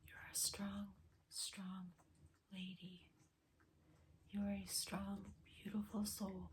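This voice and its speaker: A woman speaking in a hushed whisper to her viewers, gently and patiently pleading as she tries to reach a spirit from her home. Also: medium pitch, completely flat delivery, slow pace, slight background noise. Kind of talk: spirit communication vlog.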